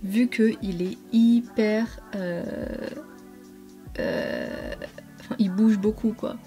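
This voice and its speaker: Young girl vlogger, chill mellow voice, rambly slangy chat, bit of music in the back. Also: medium pitch, huge pitch swings, takes it slowly, gravelly. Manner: informal, slangy, rambling with self-corrections. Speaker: beauty and lifestyle vlogger. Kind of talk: casual chat to followers while doing makeup.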